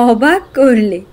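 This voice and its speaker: An actress playing a lively, teasing young sister in a literary audio drama, playful and animated. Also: female voice, sits high, heavily acted.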